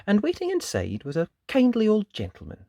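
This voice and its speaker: A storyteller reading a children's picture book aloud in an expressive, dramatic way. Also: mid-range voice, a little fast, no room sound, studio quality. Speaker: storybook reader. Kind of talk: animated children's read-aloud narration.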